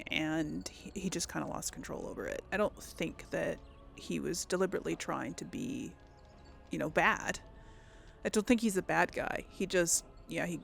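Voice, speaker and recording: A woman talks her way through a video game in a casual let's play. Her voice has a gravelly fry and she tends to hesitate and think aloud. Music plays clearly behind her. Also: on the low side. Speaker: game streamer, let's player. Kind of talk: casual gameplay commentary.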